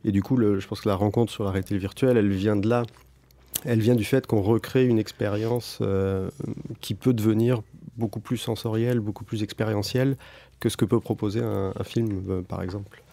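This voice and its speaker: A man who founded an audio company, answering interview questions thoughtfully with halting pauses. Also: lowish voice, steady tone, warm tone, dry recording. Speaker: guest audio tech founder. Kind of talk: studio podcast interview.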